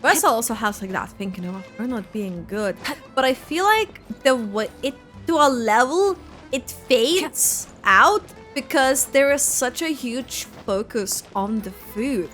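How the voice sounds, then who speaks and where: A woman's voice: a little high, lively intonation, measured pace, fairly bright tone, soft background music. A woman giving casual, rambling reaction commentary over a video game, peppering her sentences with "like". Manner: rambling, frequent filler "like". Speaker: gaming video creator.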